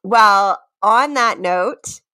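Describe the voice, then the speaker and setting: A woman's voice: medium pitch, extremely slow, pushed and bright, smooth, no room sound. A woman in her thirties co-hosting a casual comedy podcast, playful and jokey, often laughing as she talks. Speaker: podcast co-host and game quizmaster. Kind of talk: casual comedy chat with playful dramatic readings of song lyrics.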